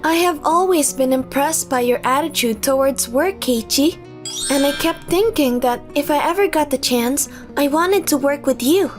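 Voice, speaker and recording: High-voiced earnest young businesswoman, acted by a woman. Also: normal speed, lightly acted. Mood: earnest, admiring. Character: Noah, young businesswoman, earnest, indignant, loyal twin sister. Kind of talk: romcom manga dub acting. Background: background music.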